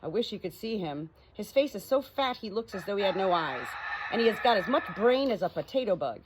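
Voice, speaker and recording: A woman's voice: mid-range voice, very animated, brisk, natural voice. A woman voicing a blunt, grumbling plow horse in an audiobook, plain-spoken, complaining and pleased with itself. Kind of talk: audiobook character dialogue. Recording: clearly noisy home recording.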